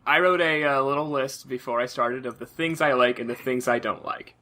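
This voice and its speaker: A man chatting casually as a podcast co-host, halting and repeating phrases as he searches for words. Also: medium pitch, pushed and bright. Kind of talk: casual chat.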